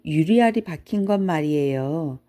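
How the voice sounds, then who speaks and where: A woman's voice: low voice, animated, moderate pace, mellow. A woman voicing a small, curious, polite boy in a children's storybook, speaking matter-of-factly. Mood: polite, explaining.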